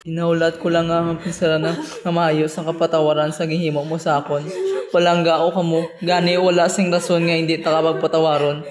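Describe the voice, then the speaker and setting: A man's voice: fairly high pitch, level tone, a little fast, crowd noise, natural voice. A man playing an ailing father, stubborn but loving, in an amateur radio drama. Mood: forgiving, sorrowful. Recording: loud music over the voice.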